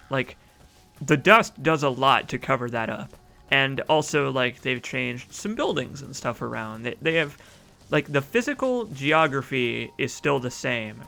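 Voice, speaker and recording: A man's voice: mid-range voice, gravelly, sharp tone. A young man casually chatting through a game stream, reading out chat comments and rambling with fillers. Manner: chatty, rambling, reads chat aloud, laughs often.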